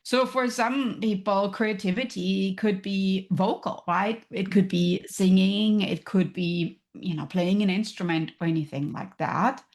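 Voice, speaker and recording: A woman's voice: mid-range voice, fairly even intonation, measured pace, few pauses, a little mellow. A woman from Germany with a German accent, a podcast guest who explains her ideas thoughtfully, with examples. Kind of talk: casual interview chat.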